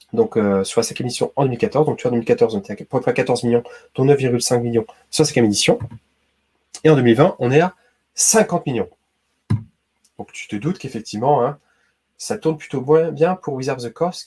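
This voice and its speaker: A man's voice: mid-range voice, mellow, slightly rough. A man from Brittany hosting a casual quiz video, chatty and talking straight to the viewer with lots of "hein" and "d'accord". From Brittany, France. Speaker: YouTube host.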